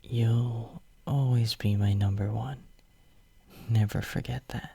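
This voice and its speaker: A man playing a devoted, possessive young hero in a romantic ASMR roleplay, murmuring tenderly and intimately in a breathy whisper close to the microphone. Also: medium pitch, fairly even intonation, slow, dark tone, exaggerated voice. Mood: adoring. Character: devoted, possessive young male hero soothing his lover.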